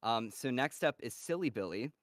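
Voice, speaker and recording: A man hosting a live-stream Q&A with a voice cast, reading out fan questions in a relaxed, upbeat way. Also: mid-range voice, normal speed, no echo, clean studio sound. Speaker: moderator of a voice cast Q&A.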